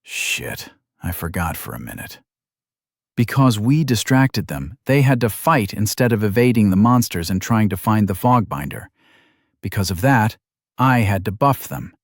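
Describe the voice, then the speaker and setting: A man's voice: fairly low pitch, lively intonation, normal speed, no echo, studio quality. A man voicing a weary, defensive young healer. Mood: frustrated.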